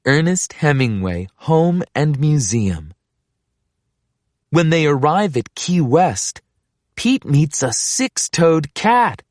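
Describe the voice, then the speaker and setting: A woman reading a children's picture book aloud in an expressive, deliberate way. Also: low-pitched, no room sound. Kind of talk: scripted children's story read-aloud.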